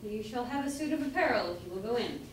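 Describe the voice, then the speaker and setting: Girl playing a polite fed-up stage player, formal old-timey lines, highish, echoey with faint noise. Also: animated, fast, far from the mic, lightly acted. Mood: polite, exasperated. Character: exasperated, courteous young player giving prologue. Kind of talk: amateur staged reading of Jacobean comedy. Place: indoor room at a college, far from mic.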